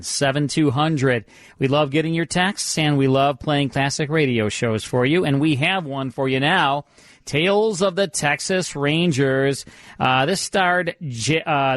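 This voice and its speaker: A man's voice: on the high side, no room sound, clean studio sound. A man co-hosting a live radio show in a casual, conversational style. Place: radio studio. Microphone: close studio microphone.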